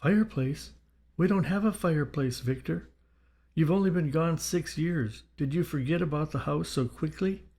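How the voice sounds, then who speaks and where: A man's voice: mid-range voice, measured pace, vocal fry, a little mellow. A man voicing an impatient, money-hungry widow in an audiobook, in a demanding, pushy way. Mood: exasperated.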